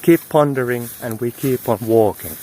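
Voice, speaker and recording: A man gives a reflective, philosophical monologue in a medium-pitched voice. His phrasing is flowery and musing, with slangy asides dropped in. Some outdoor noise sits behind his words. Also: normal speed, warm tone. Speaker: spiritual teacher hosting an audio series. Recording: noisy outdoor recording, wind and vehicle noise.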